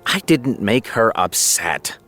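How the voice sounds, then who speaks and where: A man's voice: on the low side, big pitch swings, takes it slowly, a bit bright, faint music behind, exaggerated voice. A man playing a sardonic, stubborn television boss in an audio drama, dry and wordy as he grudgingly concedes points. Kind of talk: naturalistic audio drama acting.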